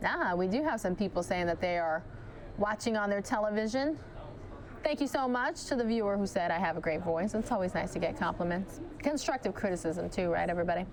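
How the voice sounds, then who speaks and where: A woman news anchor giving live commentary on a police chase, chatting directly with viewers, her voice starting to go hoarse. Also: mid-range voice, lively intonation, fairly quick, slight background noise. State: losing her voice.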